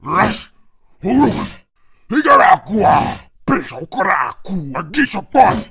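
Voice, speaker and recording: Brutish fantasy orc: gravel-throated, slow, harsh and guttural, with wildly swinging pitch. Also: male voice, big pitch swings, a bit bright, heavily acted. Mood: enraged. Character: brutish male orc warrior speaking a guttural invented tongue. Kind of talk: fantasy creature voice effect.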